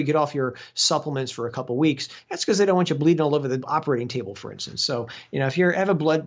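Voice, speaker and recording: A man, a guest exercise physiologist, explaining at length in casual chat with many fillers and restarts. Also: fairly high pitch. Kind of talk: casual interview over a call. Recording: dull lo-fi sound.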